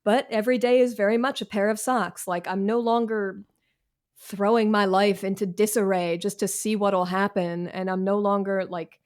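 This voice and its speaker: A woman's voice: medium pitch, fairly even intonation, moderate pace, darkish tone. Wry, candid American woman. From Washington, D.C., United States. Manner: candid, wry and self-deprecating, with slangy, swearing talk. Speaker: author, interview guest. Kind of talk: casual conversational interview.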